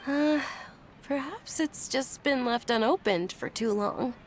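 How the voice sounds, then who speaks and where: An actress voicing a gentle, timid young noblewoman, soft and hesitant, stammering. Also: high-pitched, animated, takes it slowly, a little hiss or hum. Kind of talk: game voice acting.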